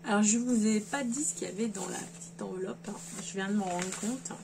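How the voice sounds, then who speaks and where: A woman talks casually at a middle pitch, rambling along and stopping often to restart her sentences with fillers. Clear background noise runs under her home recording. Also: fairly quick. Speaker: sewing vlogger. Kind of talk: casual chat to camera.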